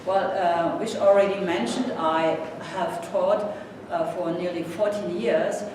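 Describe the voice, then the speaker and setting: A woman in her sixties with a German accent, a native German speaker, giving a seminar lecture as a guest professor in a halting, courteous, self-deprecating way. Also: on the low side, fairly even intonation. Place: indoor seminar room. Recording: echoey room from far off, noisy.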